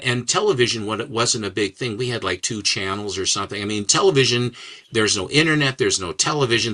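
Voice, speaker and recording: An older man as an interview guest, talking in a rambling, halting way with many restarts and fillers. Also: mid-range voice, sharp tone. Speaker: guest, comics writer and collector. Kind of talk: casual interview chat.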